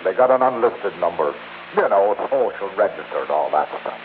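An actor playing a cynical, slangy investigator with a mocking streetwise manner, in 1960s radio drama style. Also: male voice, low voice, lively intonation, a little fast, narrow, tinny audio. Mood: sardonic. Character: cynical, sardonic, slangy male investigator, indignant at neglect. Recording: band-limited, faintly noisy old radio recording.

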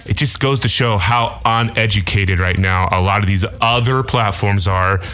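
A man who talks in a relaxed way, using laid-back slang in a casual podcast chat. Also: lowish voice, normal speed, clean tone, faint music behind.

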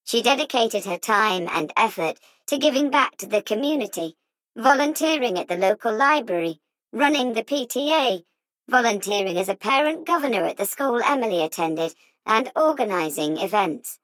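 A woman reading as an insinuating true-crime podcast host, in deliberate, dramatic scripted audiobook narration. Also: on the high side, lively intonation, fairly bright tone, dry recording, rough recording. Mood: knowing, insinuating.

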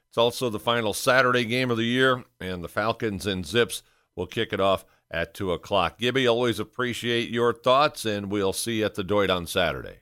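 A man's voice: lowish voice, bright, forceful tone, dry recording, studio quality. A man hosting a sports podcast, speaking in an interview style.

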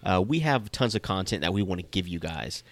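A man's voice: low-pitched, expressive, a little fast. A man co-hosting a podcast, stuffy from a cold, rambling with many fillers. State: stuffy, getting over a cold. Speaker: podcast co-host. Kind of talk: casual chat.

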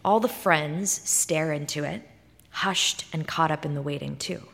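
A woman giving a dramatic, expressive reading of literary fiction, like a performance on stage. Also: deep voice, measured pace, vocal fry. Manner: theatrical, expressive delivery. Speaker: short story reader on stage. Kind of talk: dramatic scripted literary narration. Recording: reverberant hall.

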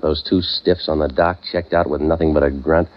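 A man with an American accent playing a terse, deadpan, cynical hard-boiled man for hire in a 1940s radio drama. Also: extremely low pitch, normal speed. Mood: brooding, wry. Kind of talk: 1940s radio noir acting and narration. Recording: dull vintage 1940s radio recording.

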